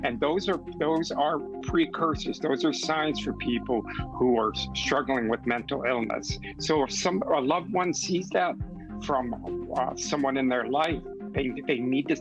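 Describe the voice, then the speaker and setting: A man speaking candidly as an interview guest, earnest and reflective, with halting restarts. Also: mid-range voice, highs cut off. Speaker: magazine editor, interview guest.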